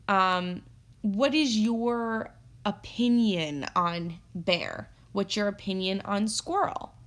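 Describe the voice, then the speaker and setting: A woman teacher reading a story aloud for children, expressive and instructive, pausing to pose guiding questions. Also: mid-range voice. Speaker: elementary teacher.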